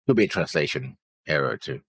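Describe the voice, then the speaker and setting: A man with a very deep, husky voice muses through his theories, trailing off as he reconsiders. He sits close to a dry mic. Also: lively intonation, brisk, no room sound. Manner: thinks aloud, trails off. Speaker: reaction show co-host. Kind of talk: casual discussion.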